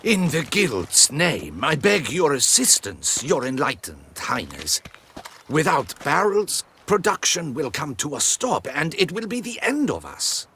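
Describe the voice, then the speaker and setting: A nervous guild petitioner in a fantasy game. He is deferential and pleading. The voice is sharp and swoops about, with faint noise behind it. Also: a little high, huge pitch swings, unhurried, exaggerated voice. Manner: pleading, obsequious. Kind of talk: video game voice acting.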